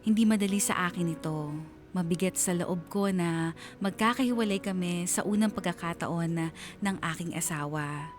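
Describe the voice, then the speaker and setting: A man's voice: high voice, moderate pace, natural voice. A performer narrating as an anxious, wounded husband telling his story in a letter on Filipino radio. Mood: worried, heavy-hearted. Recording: music bed behind voice.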